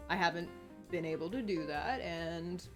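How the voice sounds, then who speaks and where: A woman's voice: lowish voice, normal speed, over music. A woman chatting casually and informally on a stream, rambling and swearing freely. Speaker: co-host of a live stream chat show. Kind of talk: casual chat.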